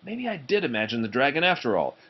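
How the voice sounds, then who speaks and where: A man's voice: mid-range voice, very animated, fast, highs cut off, a little hiss or hum, pitched above the performer's own voice, close to an everyday voice. A man voicing a cheeky, easily frightened young male steam engine in a children's storybook. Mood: insistent.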